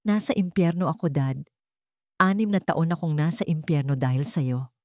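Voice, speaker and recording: A woman's voice: low-pitched, normal speed, darkish tone, dry recording, clean studio sound, close to an everyday voice. A woman voicing a wronged grown heir, wounded, bitter and resolutely defiant, in a dramatic audio story. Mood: anguished.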